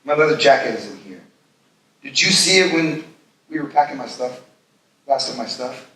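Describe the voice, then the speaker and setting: A man's voice: mid-range voice, lively intonation, moderate pace, fairly bright tone, lightly acted. Man playing gushing lovestruck guy in echoey hall. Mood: excited. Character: smitten man in his thirties, self-absorbed, giddy about new romance. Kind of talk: live screenplay table read. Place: live festival stage reading in a large room. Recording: echoey hall, distant mic, noisy.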